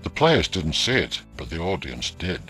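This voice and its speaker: Low-voiced man narrating a ghost story over music. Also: sing-song, normal speed, sharp tone. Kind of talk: scripted narration of a ghost story audio play.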